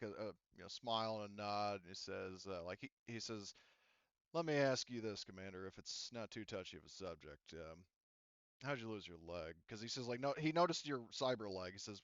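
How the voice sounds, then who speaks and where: Male GM, low smooth voice, casual and rambling, dull lo-fi mic. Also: no room sound. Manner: casual, lots of fillers and restarts. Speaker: game master. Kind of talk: narrating a tabletop game.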